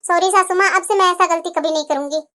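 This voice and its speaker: A woman acts out an outspoken young daughter-in-law in a cartoon moral drama. The voice is extremely high and sharp. She speaks in a pleading, argumentative way, as if lecturing her elders. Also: steady tone, quick pace. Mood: apologetic. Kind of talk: cartoon family drama dialogue.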